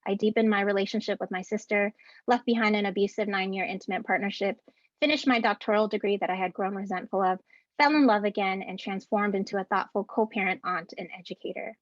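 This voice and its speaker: A woman in her thirties, an educator, giving a prepared ceremony speech from home over a video call in an earnest, deliberate way, reading out rhythmic lists. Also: mid-range voice, steady tone, no echo. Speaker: keynote speaker and educator. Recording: dull, dry video-call audio.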